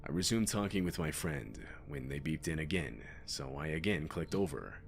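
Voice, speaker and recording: Very deep-voiced man narrating horror stories. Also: animated, normal speed, creaky. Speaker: narrator of true scary stories. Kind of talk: scripted horror story narration. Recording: music bed under the voice. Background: background music.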